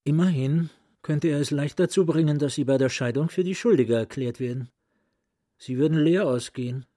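Husky, dark-voiced scheming gentleman played by an actor. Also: on the high side, normal speed, no echo, studio quality, lightly acted. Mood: commanding, persuasive. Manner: persuasive, calculated, courteous. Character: smooth, scheming middle-aged gentleman, flattering and commanding. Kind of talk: German literary radio play acting.

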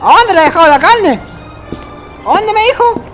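Radio drama role: grumbling Chilean lad, reluctant, whiny, high voice swinging up and down. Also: big pitch swings, moderate pace, over music. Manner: whining, complaining. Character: whiny, put-upon young son, grumbling and reluctant.

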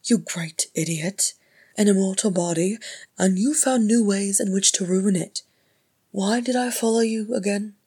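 A gruff old dwarf healer from a storybook, acted out by a woman, loud and blustering. He scolds with brusque exasperation in a husky voice, pitched a little above the reader's usual. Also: steady tone, measured pace.

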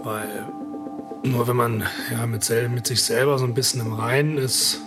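An actor in a radio drama playing a fidgety, excited man who rambles about clearing out his life. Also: mid-range voice, normal speed, music in the background, close to an everyday voice. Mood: jittery. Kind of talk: radio drama diary monologue.

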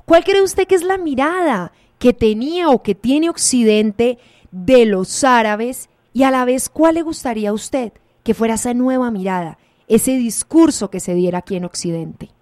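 Higher-voiced woman hosting a radio interview. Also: animated, normal speed, a little mellow, no echo. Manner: polite, formal questioning. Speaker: radio host.

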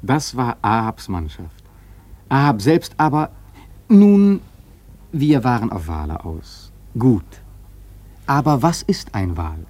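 Husky, mellow whaleman storyteller. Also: mid-range voice, big pitch swings, takes it slowly, pauses often. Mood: storytelling. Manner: musing, rhetorical, emphatic storytelling. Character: seasoned whaleman sailor recounting his voyage, wry and opinionated. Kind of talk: literary radio play narration.

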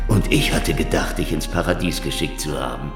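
An actor playing a cynical Soviet secret police investigator in a German radio crime drama, with a sardonic, taunting, theatrical delivery. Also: male voice, extremely low pitch, a little slow, echoey room, music in the background. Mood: sardonic, menacing.

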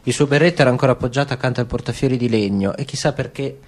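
A man narrating a radio drama in a solemn, deliberate way. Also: mid-range voice. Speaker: actor and narrator.

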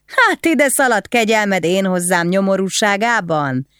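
Woman doing a drunk nobleman, slurring, shouting, sneering, dry close sound. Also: on the low side, animated, gravelly, dry recording. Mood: mocking. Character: drunk mocking nobleman, middle-aged man.

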